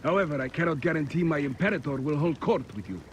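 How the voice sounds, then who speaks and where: Officious, higher-voiced Roman officer played by a man. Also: expressive, fast, natural voice. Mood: formal caution. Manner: formal, officious. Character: stiff, formal Roman officer barking orders at guards. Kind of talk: video game dramatic acting. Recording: faint background noise.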